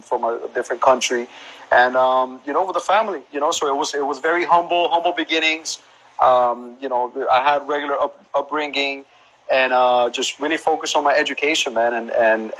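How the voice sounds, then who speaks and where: A man's voice: medium pitch, rough recording. A man in his thirties from Colombia with a Colombian Spanish accent, chatting casually as a guest on a live video interview, easygoing and often repeating words as he thinks. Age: 30s. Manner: relaxed, calls the host man and bro, repeats words while thinking. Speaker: photographer and business owner. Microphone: phone or laptop on a live video call.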